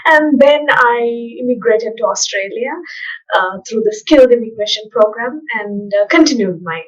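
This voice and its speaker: A woman from Sri Lanka, a podcast guest telling her story in a thoughtful, soft-spoken way with fillers. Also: fairly high pitch, lively intonation, normal speed, lo-fi sound. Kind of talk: casual interview chat.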